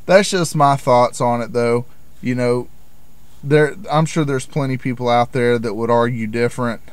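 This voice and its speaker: A man's voice: medium pitch, steady tone, normal speed, smooth, no echo. A middle-aged American man giving an informal, plain-spoken explanation in the manner of a hobbyist vlogger. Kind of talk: informal explanatory talk to camera.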